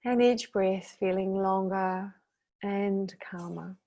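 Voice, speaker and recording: A woman leads a guided meditation at a very unhurried pace. Her delivery is gentle and soothing, in short instructional phrases separated by long pauses. Her pitch sits in the middle of the female range. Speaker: guided meditation teacher and intuitive therapist.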